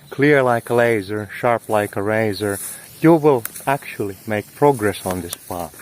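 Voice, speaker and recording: A man's voice: fairly low pitch, a little hiss or hum. A man delivering a motivational spiritual monologue as a teacher, speaking in an emphatic, poetic, exhorting way.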